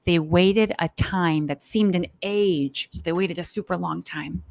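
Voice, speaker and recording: A woman's voice: on the low side, measured pace, gravelly, darkish tone, no echo. A woman teacher reading a story aloud for children and explaining it informally as she goes. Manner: informal, explanatory, acts out thoughts.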